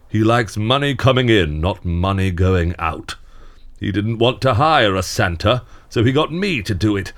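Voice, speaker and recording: Glum, low-voiced fake Santa. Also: male voice. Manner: grumbling, sardonic, reluctant. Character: glum, world-weary fake Santa from a Christmas theme park. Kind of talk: scripted audio drama acting.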